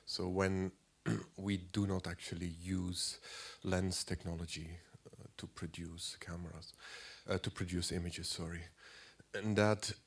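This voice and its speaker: A man in his forties with a cold, giving a halting lecture as an artist, clearing his throat often and filling pauses. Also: deep voice, little pitch movement. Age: 40s. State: has a cold.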